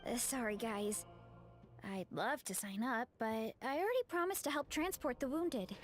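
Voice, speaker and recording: A woman voicing an upbeat, chatty young shopkeeper's daughter in a video game, breezy and expressive. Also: fairly high pitch, moderate pace, faint music behind, close to an everyday voice. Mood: apologetic.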